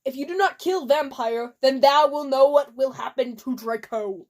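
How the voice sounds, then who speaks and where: A woman voicing a cruel male dark wizard, yelling commands in archaic speech for a mock-dramatic reading. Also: high voice, moderate pace, lightly acted. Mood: threatening.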